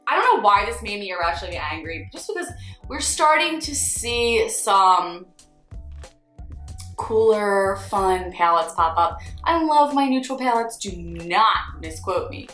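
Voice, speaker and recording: A woman's voice: mid-range voice, measured pace. A young woman from Northeast Philadelphia with a Philadelphia accent, a makeup artist giving blunt, sarcastic, swear-laced opinions in a casual vlog. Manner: blunt, sarcastic, swears freely, animated swings. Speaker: beauty vlogger, freelance makeup artist. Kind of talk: candid casual ranting vlog. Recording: echoey room with faint music.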